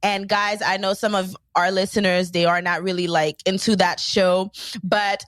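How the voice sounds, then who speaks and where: A woman's voice: mid-range voice, flat delivery, normal speed, fairly bright tone, no echo. Woman, podcast co-host, enthusiastic, bubbly, dry close sound. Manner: bubbly, enthusiastic, addresses listeners. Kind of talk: casual podcast hosting.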